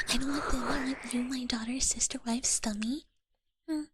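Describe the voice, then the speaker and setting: A young woman whispering softly to her stream chat in an ASMR style, giggling often. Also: high-pitched, noisy background. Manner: soft whisper with frequent giggles.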